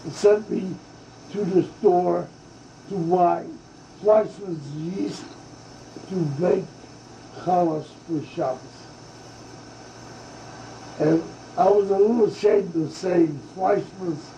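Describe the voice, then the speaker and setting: An older man from Hungary, recalling his family's past in an oral history interview, speaking haltingly with many fillers and restarts. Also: high-pitched, mellow, a little hiss or hum. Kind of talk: slow reminiscing oral history. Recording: dull lo-fi tape in a roomy space.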